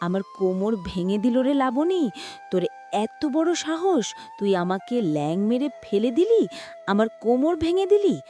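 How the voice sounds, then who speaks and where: A shrill cruel stepmother, played by a woman, for a cartoon, scolding and threatening with a voice that leaps up and down. Light music plays faintly behind her. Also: big pitch swings, moderate pace, dark tone. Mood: furious. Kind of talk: cartoon voice acting.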